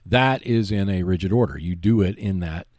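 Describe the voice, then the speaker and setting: A man's voice: deep voice, normal speed, a little mellow, no room sound, studio quality. A man hosting a gaming show, giving instructional tips in an explanatory, emphatic and reassuring way. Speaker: host of a tabletop gaming show. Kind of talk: instructional tips talk on board wargaming.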